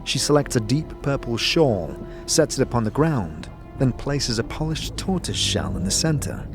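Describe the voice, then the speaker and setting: A man's voice: mid-range voice, sing-song, normal speed, music in the background. A man reading scripted narration for a fantasy audio drama.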